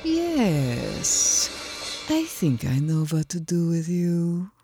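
A woman's voice: on the low side, wildly swooping pitch, extremely slow, music in the background, heavily acted. A woman playing a stern, haughty female Air Force colonel in a comedic audio drama, speaking in formal commands. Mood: stern, imperious.

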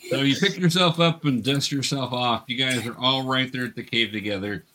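Bright-voiced man running a tabletop game. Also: mid-range voice, fairly quick, low-quality recording. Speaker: game master. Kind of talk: narrating and refereeing a beginners' tabletop game.

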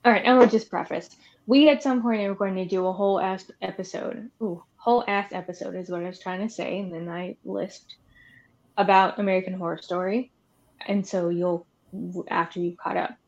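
Woman podcast cohost, medium pitch, chatty n wry, opinionated, kinda muffled lofi recording. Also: fairly even intonation, moderate pace, darkish tone. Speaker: podcast co-host. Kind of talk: casual chat about horror films.

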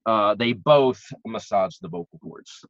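A man's voice: fairly low pitch, a little slow, vocal fry, no echo, lo-fi sound. A man in casual podcast chat, coaching singers with eager, repetitive phrasing. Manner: enthusiastic, repeats words while thinking. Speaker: vocal coach, podcast co-host.

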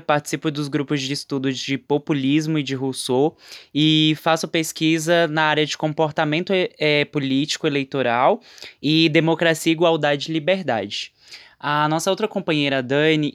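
A man's voice: fairly high pitch, a bit bright, clean tone. A young man from Brasília co-hosting a podcast in an easygoing, conversational way. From Brasília, Brazil. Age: young adult. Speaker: podcast co-host. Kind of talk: casual podcast chat.

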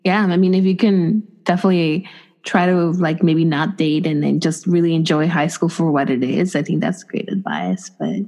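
A young woman from Mexico City with a light Mexico City accent, co-hosting a podcast in relaxed, agreeable casual chat. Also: sits low, normal speed. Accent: Mexico City Spanish. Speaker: podcast co-host.

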